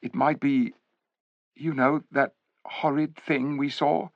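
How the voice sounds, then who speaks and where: A man's voice: medium pitch, little pitch movement, takes it slowly, dry recording, pitched above the performer's own voice. A man voicing an anxious young girl who whispers in a storybook reading. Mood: anxious hushed. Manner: hushed, whispering. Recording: dull lo-fi recording.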